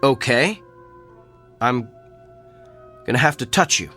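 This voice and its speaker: A man's voice: medium pitch, lively intonation, slow pace, bright, forceful tone, faint music behind. An actor in a radio drama playing an earnest male doctor with an uncanny gift for diagnosis. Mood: insistent.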